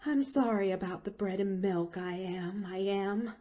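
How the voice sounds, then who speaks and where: A woman's voice: medium pitch, steady tone, unhurried, warm tone, close to an everyday voice. A woman voicing a kindly, dogged country housemaid in a storybook, folksy and ungrammatical, full of flustered exclamations. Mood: sympathetic.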